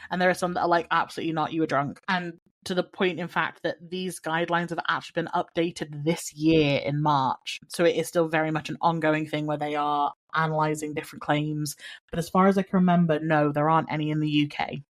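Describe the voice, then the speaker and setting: A woman co-hosting a casual podcast, chatty and agreeable, full of "like" and quick asides. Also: low voice, flat delivery. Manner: chatty, lots of likes and agreeing mms. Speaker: podcast co-host.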